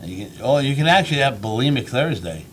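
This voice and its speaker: A man's voice: mid-range voice, very animated, fast talker, very noisy. A man co-hosting a live web show, trading crude, mocking jokes in casual banter. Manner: loud, mocking, crude joking.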